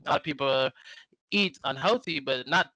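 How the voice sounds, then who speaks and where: A man's voice: medium pitch, moderate pace, sharp tone, no echo, low-quality recording. A teenage student from the Bronx, speaking casually from his kitchen at home and often saying "like". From the Bronx, New York. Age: teens. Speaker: student intern.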